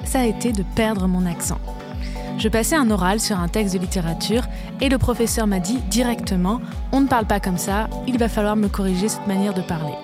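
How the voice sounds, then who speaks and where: A woman hosting a podcast, giving clear, measured scripted narration and interviewing guests. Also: mid-range voice, music in the background. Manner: clear, measured, articulate delivery. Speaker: podcast host.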